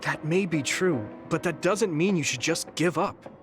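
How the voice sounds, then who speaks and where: A man in an English game dub voicing a kind, earnest young psychic soldier who speaks gently and casually, over music. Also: on the high side, expressive, a little slow. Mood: encouraging, firm. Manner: gentle, sincere, casual phrasing. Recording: music behind the voice.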